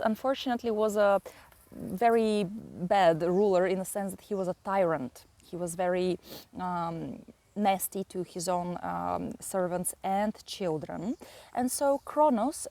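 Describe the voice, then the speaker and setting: A woman with a Bulgarian accent, a native Bulgarian speaker, explaining astrology and mythology with many pauses and fillers. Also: medium pitch, a little mellow. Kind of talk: slow explanatory astrology talk.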